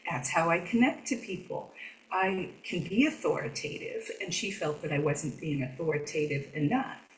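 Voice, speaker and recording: A woman guest speaking softly and conversationally, reflecting on her own experience. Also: low-pitched, normal speed, echoey room, distant mic, slight background noise, poor audio. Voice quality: soft voice that does not carry. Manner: conversational, reflective, soft-spoken. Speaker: podcast guest. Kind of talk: conversational interview.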